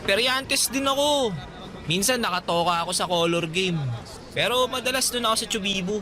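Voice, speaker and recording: A man's voice: high-pitched, normal speed, natural voice. A man playing a friendly, cheeky teenage fairground boy in a radio drama, with casual teasing delivery. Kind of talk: Tagalog radio drama acting. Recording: background music, outdoor traffic sounds.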